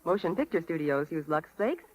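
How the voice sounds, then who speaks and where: A woman's voice: lowish voice, sing-song, brisk, a little mellow, no echo, poor audio. A woman in a scripted 1930s radio commercial. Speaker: radio advertisement performer. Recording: old 1930s radio broadcast.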